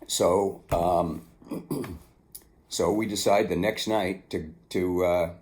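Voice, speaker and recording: An older man telling stories from his own memoir, with an unhurried, halting delivery. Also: deep voice, fairly even intonation, a little mellow. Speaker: author narrating own memoir. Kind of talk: casual storytelling, then reading aloud from a book.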